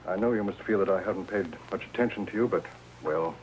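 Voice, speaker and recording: A man's voice: low-pitched, fast, darkish tone, lightly acted. An actor playing a polite, troubled employer and husband in a 1950s crime drama, formal and hesitant in his talk. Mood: formal, confiding. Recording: noisy old 1950s TV drama soundtrack.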